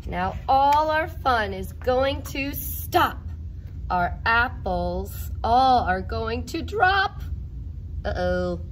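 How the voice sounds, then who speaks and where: Female children's storyteller, teacher type. Highish, very lively delivery, expressive and playful, faint background noise. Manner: expressive, exclaiming, playful, counts along deliberately. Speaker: teacher reading a picture book to children. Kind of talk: animated children's read-aloud with asides.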